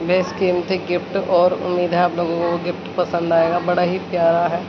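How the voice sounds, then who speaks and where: A woman's voice: fairly low pitch, monotone, normal speed. A woman, chatting casually as a home vlogger. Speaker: village vlogger. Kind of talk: casual home vlog chat and narration. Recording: muffled phone audio with clear background noise.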